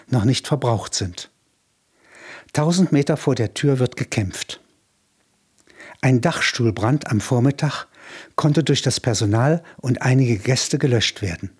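A wartime radio chronicler with a strikingly husky, rough-edged voice. His pitch is medium and his manner musing and plain-spoken. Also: darkish tone.

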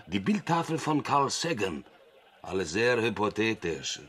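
An actor playing a blunt, skeptical crew member in a radio play, with a dry, naturalistic delivery. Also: male voice, on the low side, sing-song, a little slow, fairly bright tone, slightly rough, heavily acted. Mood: skeptical, resigned. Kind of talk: German radio play acting.

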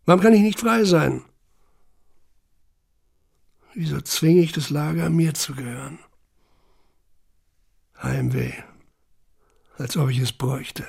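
An actor playing a haunted, self-questioning camp survivor in a literary audio monologue, intense and introspective, with a husky, gravelly voice. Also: male voice, on the high side, unhurried, long pauses, a little mellow, full character voice. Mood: brooding, questioning. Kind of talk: literary first-person monologue in audio drama.

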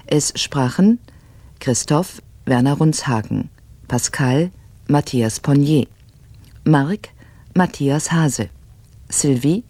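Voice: mid-range voice, mellow, no room sound. A radio announcer reading the scripted closing credits of a broadcast drama in a formal style.

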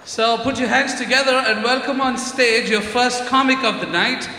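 A woman's voice: fairly high pitch, normal speed, very noisy. A woman hosts a comedy show, making announcements from the stage. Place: echoing church hall at a festival. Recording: very echoey hall sound.

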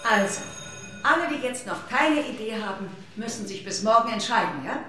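A woman playing a patient, gently prodding schoolteacher who instructs her pupils in a children's radio play. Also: on the high side, animated, soft background music. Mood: patient, firm. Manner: measured, instructive, checking in with pupils. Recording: echoey, roomy classroom sound.

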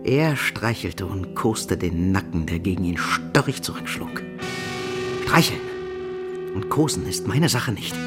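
A man's voice: low-pitched, lively intonation, unhurried. An actor playing a cruel, scheming young nobleman in a radio play monologue, theatrical and menacing. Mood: gloating menace. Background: loud plucked guitar music.

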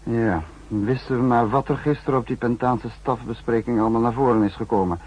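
An actor playing a calculating senior spymaster in a 1960s radio drama, speaking in a measured, probing, formal way. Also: male voice, medium pitch, steady tone, a little mellow, close to an everyday voice. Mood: cool, calculating. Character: calculating male spymaster, senior protector of secret service. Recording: dull, faintly husky 1960s radio recording.

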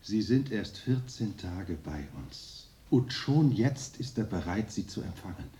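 An actor playing a deferential envoy of a lamasery in a radio drama, speaking formally and deliberately. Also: male voice, fairly low pitch, very animated, mellow. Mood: awed, formal.

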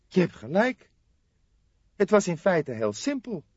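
A man's voice: high-pitched, big pitch swings, measured pace, husky, dry recording, muffled recording, pitched above the performer's own voice, heavily acted. A man voicing an irritable, sardonic young police detective in an audiobook. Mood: surprised. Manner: exclamatory, gloomy, sardonic. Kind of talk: audiobook character voice.

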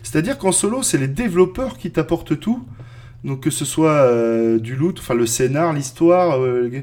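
A man's voice: fairly high pitch, expressive, normal speed, darkish tone. A man in his thirties chatting casually as a podcast co-host, rambling with lots of fillers and restarts. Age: 30s. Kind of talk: casual roundtable chat.

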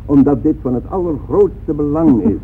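An actor playing an earnest music school director, formal and insistent, in a radio drama. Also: male voice, on the high side, animated, moderate pace, gravelly. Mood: urgent, insistent. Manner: emphatic, formal, repetitive. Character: earnest, insistent male conservatory director pleading his case. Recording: tinny, noisy old radio recording.

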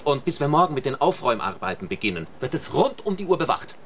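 An actor playing a strict site guard in a German radio drama, brusque and official. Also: male voice, medium pitch, big pitch swings, fast talker, a bit bright, slight background noise. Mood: stern.

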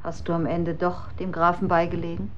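A woman's voice: on the low side, monotone, moderate pace, highs cut off, slight background noise, lightly acted. An actress playing a worried, scolding middle-aged mother of olden times in a radio drama, probing and archaic in her wording. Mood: scolding, alarmed.

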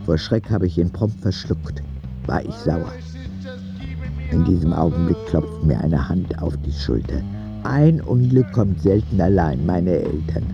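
A man narrating a radio drama in the first person as a cheeky boy, soft and husky. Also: on the low side, lo-fi sound. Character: cheeky ten-year-old boy narrating his own story.

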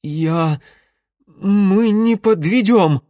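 A male narrator puts on a young merchant's son for an audiobook. He is pitched well above the reader's natural voice, anxious and servile, yet puffed up with boastful self-importance. The sound is close and dry. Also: very animated, moderate pace, no echo. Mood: desperate. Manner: fawning, pompous, self-important. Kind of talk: audiobook character voice.

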